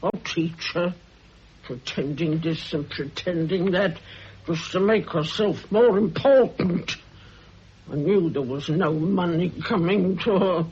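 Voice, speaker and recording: An actress playing a spiteful, scheming older woman who speaks in a slow, husky, threatening drawl. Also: medium pitch, lively intonation. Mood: muttering. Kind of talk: radio drama acting. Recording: dull old radio recording.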